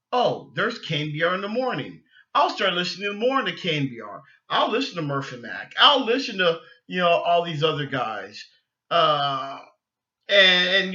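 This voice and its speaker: A man with a fairly high, very bright and forceful voice talks casually as an opinionated sports fan. He rambles, doubling back on phrases as he goes. The recording is slightly dull and lo-fi. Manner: rambling, repeats and restarts phrases. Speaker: sports podcast host.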